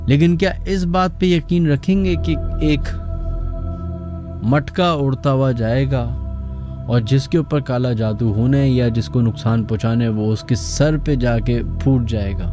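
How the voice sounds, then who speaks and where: Male late-night radio host, Pakistani accent, mellow and relaxed, musing conversational storyteller over background music. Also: medium pitch, measured pace.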